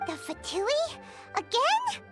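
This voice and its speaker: A woman voicing a tiny, excitable female fairy companion in a video game, squeaky and childlike, who calls herself by name. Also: takes it slowly, full character voice. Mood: curious. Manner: excitable, speaks of herself in third person. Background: game music.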